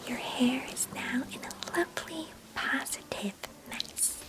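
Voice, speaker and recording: A woman's voice: high voice, fairly even intonation, measured pace. A woman playing a chatty, doting young cousin in an ASMR roleplay, whispering breathily with playful grandeur. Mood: playful teasing.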